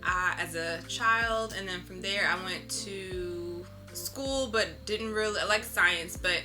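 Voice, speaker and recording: A woman's voice: fairly low pitch, slow pace, bright, forceful tone, soft background music. A woman being interviewed, answering casually in a candid, reflective and informal storytelling way. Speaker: interviewed artist.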